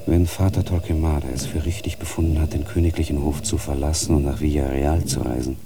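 An actor playing an older, cautious monk and teacher in a radio drama, grave and measured in his warnings, heard from a distance with noise behind. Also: extremely low pitch, steady tone. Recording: distant voice with audible background noise.

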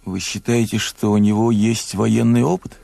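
An actor in a radio drama playing a bitter, self-mocking poet and would-be rebel, speaking in a reflective, confiding way. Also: male voice, lowish voice, little pitch movement, moderate pace, vocal fry, warm tone, dry recording. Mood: wry, reflective. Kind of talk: Soviet radio drama acting.

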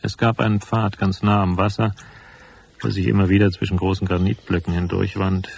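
An actor playing a cool, laconic private investigator who narrates his case in a radio drama, with a husky voice. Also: low-pitched, level tone, a little fast, a little mellow, heavily acted. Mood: cool, brooding. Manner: laconic, matter-of-fact.

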